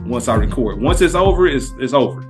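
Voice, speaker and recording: A man co-hosting a podcast, telling a story in casual slang with lively energy. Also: medium pitch, a little fast, music in the background. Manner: animated anecdote-telling with street slang. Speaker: podcast co-host.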